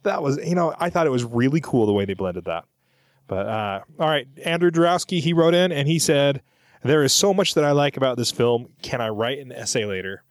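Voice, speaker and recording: A man's voice: mid-range voice, animated, fairly quick, no room sound. A middle-aged man with a mid-range voice recorded very dry. He hosts a podcast in a chatty, loose style, reading out comments and wandering off, then correcting himself as he goes. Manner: chatty, rambling, self-correcting. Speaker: podcast host. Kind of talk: casual chat, reading out listener comments.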